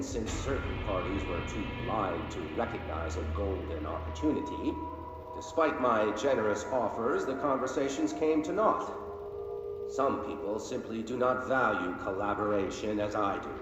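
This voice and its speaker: An actor playing an arrogant, self-important male doctor who dictates research notes in a deliberate, pompous way for a video game. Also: lowish voice. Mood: smug, aggrieved. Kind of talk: scripted video game monologue, audio log. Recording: echoey hall, long reverb, loud noise.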